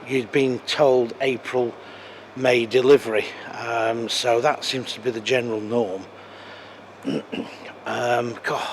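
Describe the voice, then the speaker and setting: A British man with a cold-roughened, croaky voice chats casually to camera, trailing off into ums and restarts. He films himself in the foyer of a large exhibition hall. Constant background noise from the venue sits clearly under his voice. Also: mid-range voice. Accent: British English. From United Kingdom. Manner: chatty, rambling, with many ums. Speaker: vlogger. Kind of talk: casual walk-and-talk vlog.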